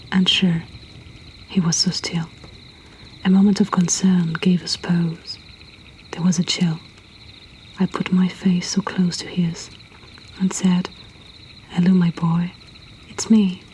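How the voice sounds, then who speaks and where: A woman softly whispering a poetry reading as an intimate storyteller host, breathy and deliberate with long pauses. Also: fairly low pitch, level tone, a little hiss or hum, rough recording.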